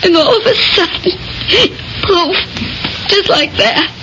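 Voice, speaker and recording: A woman's voice: very high-pitched, slow pace. An actress playing an affectionate, anxious young woman, a kid sister, in earnest old-time radio drama style. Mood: grieving, anxious. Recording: dull, noisy radio drama recording.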